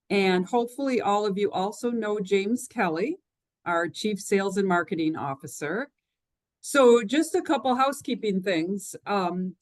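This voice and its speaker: A woman's voice: medium pitch, no echo. A woman giving step-by-step housekeeping instructions at the start of an online presentation, in a friendly, practical way over a computer mic. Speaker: webinar co-host. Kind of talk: housekeeping announcements. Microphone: computer mic on a video call.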